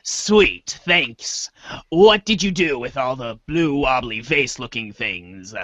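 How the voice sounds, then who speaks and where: A man's voice: mid-range voice, animated, slow pace, fairly bright tone, no echo. A man voicing a curious young boy in a cartoon villain voice, in a muffled lo-fi recording.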